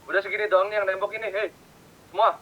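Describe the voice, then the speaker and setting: A man's voice: high voice, flat delivery, fast, sharp tone, slight background noise, rough recording. A man with an Indonesian accent in casual game chat, urging the others with short repeated orders. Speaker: online roleplay player.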